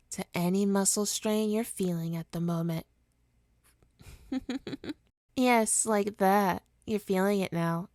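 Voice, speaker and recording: A woman's voice: medium pitch, dry recording, studio quality, lightly acted. A woman playing a smug, teasing supervillain with hypnotic powers in an ASMR roleplay, taunting and theatrical in a condescending way. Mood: hypnotic, gloating. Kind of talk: ASMR roleplay monologue to listener.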